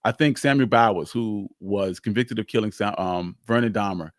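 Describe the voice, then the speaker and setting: A man's voice: on the low side, normal speed, no room sound, studio quality. A man hosting a live history talk, telling the story emphatically and repeating phrases for weight. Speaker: host of a history show.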